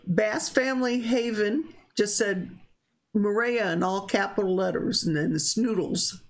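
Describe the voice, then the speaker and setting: A woman's voice: medium pitch, unhurried. A woman hosting a live stream, chatting casually and reading out viewer comments in a teasing, folksy way.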